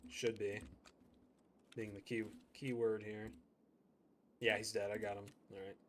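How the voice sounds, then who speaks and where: A man's voice: fairly low pitch, little pitch movement, measured pace, fairly bright tone, a little hiss or hum. A man streaming a game, chatting casually and thinking aloud as he plays. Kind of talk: live gameplay commentary.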